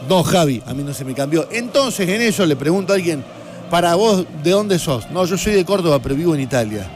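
A man's voice: on the high side, expressive, fairly quick, slight background noise. A man with an Argentine accent hosting a radio and TV show, chatting casually and explaining things to listeners with enthusiasm. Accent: Argentine Spanish.

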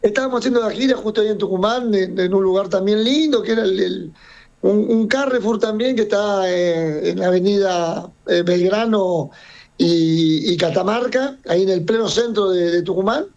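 A middle-aged man from Serbia, a circus owner, chats casually in an interview. His voice sits high for a man, and he rambles, restarting and repeating words as he goes. The recording is dull and lo-fi. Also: moderate pace, creaky, a little mellow. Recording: dull lo-fi sound.

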